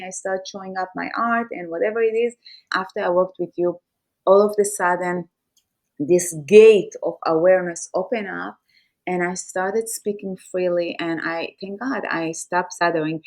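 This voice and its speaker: A woman's voice: mid-range voice, steady tone, normal speed, darkish tone. A woman podcast host who speaks English as her third language, talking candidly and personally, sometimes repeating her words. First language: Farsi or Hebrew. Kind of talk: conversational interview.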